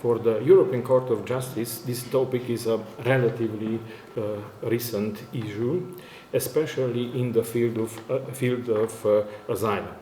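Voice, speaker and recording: Halting Romanian-accented male lecturer. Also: mid-range voice, steady tone, warm tone. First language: not English, which is a fourth working language. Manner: very halting, hesitant, searching for words. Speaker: academic conference speaker, university lecturer. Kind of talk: formal conference presentation in non-native English. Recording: echoey room, faint background noise.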